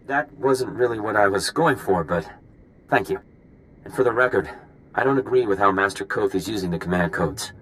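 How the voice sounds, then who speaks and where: Man playing an earnest, low-voiced officer. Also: normal speed, fairly bright tone, close to an everyday voice, rough recording. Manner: crisp, respectful, earnest. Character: earnest, dutiful military intelligence field officer. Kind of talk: video game voice acting.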